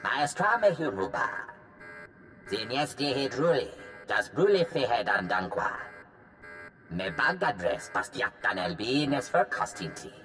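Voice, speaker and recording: A male alien in a video game. He rattles off an invented tongue with a lively, swinging pitch, with clear music behind him. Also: a little slow. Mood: animated.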